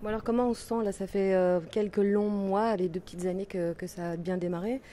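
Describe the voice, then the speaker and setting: A woman interviewing a band at a festival, asking measured questions with a few fillers. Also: medium pitch, steady tone, warm tone, a little hiss or hum.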